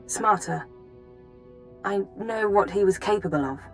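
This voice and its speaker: A woman's voice: mid-range voice, unhurried, soft background music, close to an everyday voice. A woman playing a controlled, efficient intelligence handler in a video game, speaking in a clipped, formal way. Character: brisk, clinical, controlled female intelligence handler. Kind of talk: video game cutscene acting.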